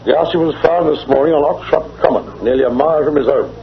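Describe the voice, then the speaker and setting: An actor playing a brisk police inspector in a vintage radio drama, matter-of-fact and official. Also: male voice, a little high, gravelly. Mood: brisk, factual. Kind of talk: mid-century radio drama acting. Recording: dull vintage radio recording.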